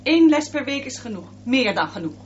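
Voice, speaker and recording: A woman's voice: high voice, big pitch swings, moderate pace, echoey room, recorded from a distance, lo-fi sound, slight background noise. An actress in a Dutch radio drama playing a worried, disapproving middle-aged mother with a curt, sceptical manner. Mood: stern. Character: stern, worried, disapproving mother of a teenage boy.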